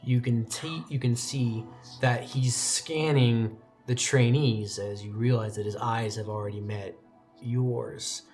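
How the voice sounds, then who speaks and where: A man narrating a tabletop roleplaying game as game master, setting scenes in a measured way and dropping soft for quiet details. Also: medium pitch, steady tone, faint music behind.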